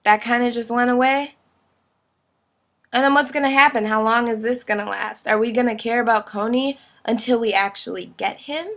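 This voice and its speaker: Young woman, higher-pitched voice, blunt opinion. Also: moderate pace, a bit bright. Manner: blunt, informal, frequent "like". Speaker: vlogger giving opinion. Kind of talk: casual opinionated video monologue.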